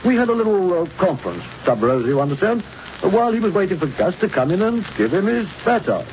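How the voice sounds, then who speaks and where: An actor playing a pompous, irritable Member of Parliament, sputtering indignantly in a 1940s radio drama. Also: male voice, high-pitched, very animated, quick pace. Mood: flustered. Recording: dull, hissy old radio recording.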